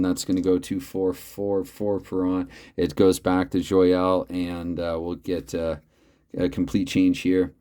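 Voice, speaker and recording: A man with a low, naturally loud voice calls a tabletop hockey game from home. He slips between mock arena play-by-play and casual muttering over the dice math, keeping his volume in check. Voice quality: loud voice, holding back. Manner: chatty, thinks aloud over the dice math. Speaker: host narrating a tabletop hockey replay.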